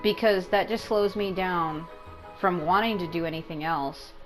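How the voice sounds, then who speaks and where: A woman with a slightly low voice talks casually as she streams a video game, with faint music underneath. She rambles along conversationally, circling back over her points and leaning on them for emphasis. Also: moderate pace. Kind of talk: casual gameplay commentary.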